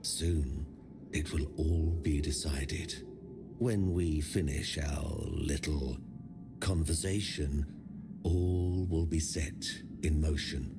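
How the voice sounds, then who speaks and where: An actor playing a weary, haunted lone survivor in a dark fantasy game, brooding and theatrical, with hushed, breathy phrase endings. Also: male voice, a bass voice, fairly even intonation, slow pace, music in the background, exaggerated voice. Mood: solemn, brooding.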